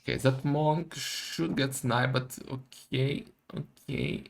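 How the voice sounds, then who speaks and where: A man giving excitable, casual live esports commentary, full of exclamations and questions. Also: medium pitch, takes it slowly, gravelly.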